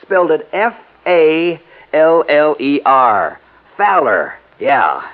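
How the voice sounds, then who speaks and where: A man's voice: a little high, very animated, slow pace. An actor playing a boastful, blustering, folksy insurance man who flusters easily, in mid-century American radio acting style. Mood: flustered, blustering. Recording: tinny narrow-band old radio recording.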